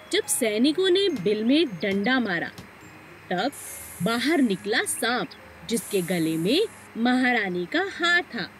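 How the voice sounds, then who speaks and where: A woman's voice: a little high, noisy background. A woman narrating a children's moral story as a scripted storyteller.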